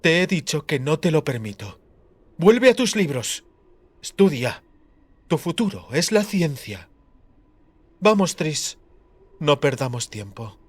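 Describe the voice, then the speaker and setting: Man playing a stern, brusque high priestess. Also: big pitch swings, takes it slowly, long pauses, full character voice. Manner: brusque, mocking, authoritative.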